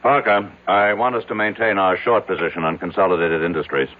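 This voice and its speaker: A man's voice: low-pitched, steady tone, brisk, narrow, tinny audio, close to an everyday voice. A man playing a brusque, impatient, powerful tycoon in a radio drama, with a commanding, clipped way of speaking. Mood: commanding, businesslike. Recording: thin, band-limited old radio broadcast.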